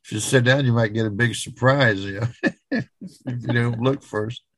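A man co-hosting a podcast, retelling news stories in an unhurried, folksy, casual way. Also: medium pitch, a bit bright, no echo. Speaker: podcast co-host.

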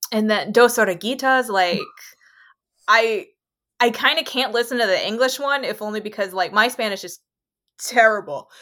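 A woman's voice: medium pitch, a bit bright. Gushing, rambling woman podcaster. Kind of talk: casual podcast chat.

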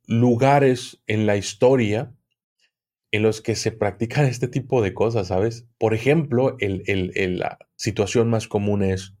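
Slow, hesitant man explaining. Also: on the low side, a little mellow. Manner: hesitant, repeats words while searching for them. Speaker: occultism expert guest. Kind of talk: conversational explanation in an interview.

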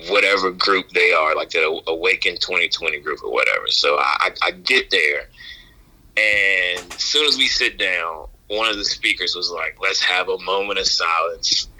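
Male podcast guest, lower register, sharp forceful tone, wry slangy storyteller who repeats himself haltingly. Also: rough recording. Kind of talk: casual anecdotal storytelling.

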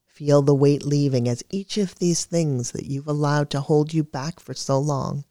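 A guided meditation narrator speaks in a middle register with a measured, soothing delivery. The reading is scripted and calm. The sound is close and dry, with very little room on it. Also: medium pitch, no echo.

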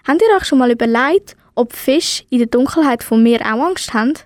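A woman's voice: high-pitched, no room sound. A teenage girl presenting a radio programme from a script.

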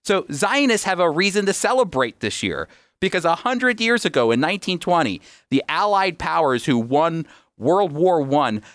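A man's voice: fairly high pitch, sing-song, normal speed, a bit bright, no echo. A man with an American accent teaching on a radio program in an emphatic, explanatory way. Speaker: radio host and Bible teacher.

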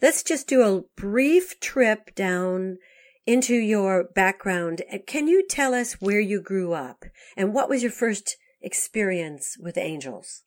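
A woman's voice: medium pitch, animated, takes it slowly. A woman hosting an interview, asking questions and giving frequent short encouraging responses. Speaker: interviewer host.

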